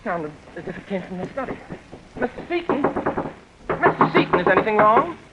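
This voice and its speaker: An actor playing a timid, polite young man in a hesitant, halting manner, in 1940s radio suspense style. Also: high voice, very animated, muffled recording. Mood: alarmed. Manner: hesitant, halting, self-doubting. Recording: noisy vintage radio recording.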